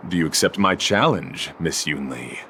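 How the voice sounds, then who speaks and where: A man voicing a chivalrous, high-minded knight devoted to beauty in a video game, speaking formally and with theatrical earnestness. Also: animated, normal speed, a bit bright, slight background noise. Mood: solemn, formal. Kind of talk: video game character acting. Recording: game music behind the voice.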